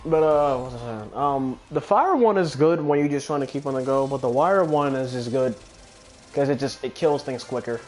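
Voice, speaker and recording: A man's voice: medium pitch, normal speed. A man giving casual gameplay commentary as a gaming video host, rambling and swearing often. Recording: game music behind the voice. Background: loud video game music.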